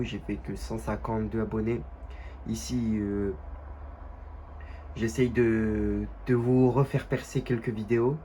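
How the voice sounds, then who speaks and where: A young man with a stuffy, nasal voice from a cold, chatting casually and haltingly over gameplay at home. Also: lowish voice, warm tone, slight background noise. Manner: halting, many fillers and restarts. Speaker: gaming youtuber.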